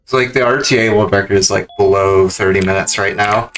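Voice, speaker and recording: A man's voice: on the low side, steady tone, fairly quick, fairly bright tone, slight background noise. A man streaming a speedrun with casual, rambling, self-critical commentary.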